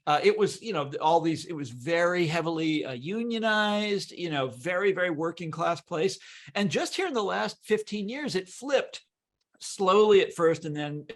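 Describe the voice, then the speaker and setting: An American man from Kansas talking as an interview guest, animated and emphatic, often repeating his words as he explains. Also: sits high, normal speed. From Kansas, United States. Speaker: guest author and historian. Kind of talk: conversational interview.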